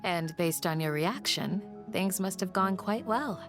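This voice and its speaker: A woman playing a caring, composed village guardian in a video game, gentle and sincere. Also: lowish voice, measured pace, music in the background. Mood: reassuring. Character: calm, caring adult female village guardian. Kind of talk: video game character acting.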